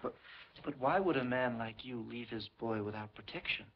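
An actor playing a polite, unctuous secret-police major who feigns sympathy in a 1950s film. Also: male voice, mid-range voice, normal speed, natural voice. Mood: mock concern. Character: smooth, falsely courteous Soviet secret-police major interrogating a prisoner. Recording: dull, noisy 1950s film soundtrack.

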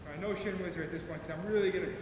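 A fairly high-voiced man teaches a wrestling clinic. He talks step by step and leans on "all right" between moves. He is caught by a camera far off in a big arena with a long, echoing reverb and clear hum behind him. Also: fairly even intonation, very fast. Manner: instructive, repeats "all right" often. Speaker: wrestling coach. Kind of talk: clinic demonstration. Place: large gym arena with wrestling mat.

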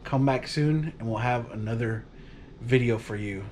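Relaxed man chatting on his couch. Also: mid-range voice, normal speed, a little hiss or hum. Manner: relaxed, rambling, laughs often. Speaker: vlog host. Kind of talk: casual chat to camera. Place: living room at home, on a couch. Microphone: camera across the room.

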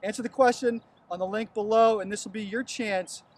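High-voiced American man hosting casually. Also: fairly even intonation, a little fast. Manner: chummy, informal, addresses people as man. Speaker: host. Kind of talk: casual upbeat promotional interview. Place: outdoors on an empty baseball stadium field.

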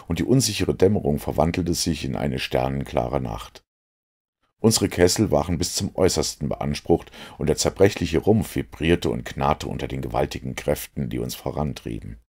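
A man with a gravelly, husky voice reading literary narration as an audiobook. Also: rumbling low, warm tone. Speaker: audiobook reader.

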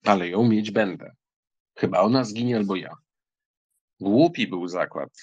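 A man acting an arrogant, impetuous German count in an audiobook drama, with a bold, theatrical manner and a husky, gravelly voice. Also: fairly low pitch, a little slow, a little mellow, dry recording, muffled recording. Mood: brooding, infatuated.

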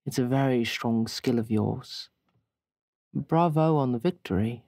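A weary, evasive ghost woman, acted out by a man at a tabletop game. The delivery is slow and hesitant, with cryptic turns. The recording is close and dry. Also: medium pitch, a little mellow, no room sound. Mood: cryptic, sleepy. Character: weary, evasive female ghost crew member.

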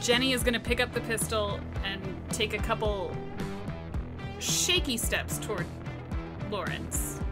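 A woman tabletop player narrates in measured, deliberate phrases. Her pitch is average for a woman. Loud music runs behind her voice. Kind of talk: narrating her character's actions in a roleplaying game.

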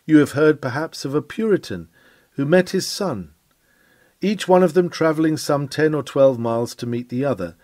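Man with a dark, dry, solemn sermon voice. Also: on the high side, no room sound. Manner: rises and falls for emphasis, solemn pauses. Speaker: reader of a historic sermon. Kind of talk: dramatic sermon reading.

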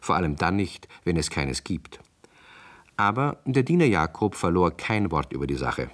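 A man's voice: sits low, animated, moderate pace. A man narrating a story for a 1960s radio play in scripted storybook style.

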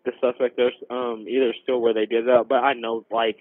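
A young man making an emergency call over a telephone line, giving a halting, informal, rambling account. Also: mid-range voice, level tone, dry recording. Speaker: caller reporting a robbery. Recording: tinny narrowband emergency phone line.

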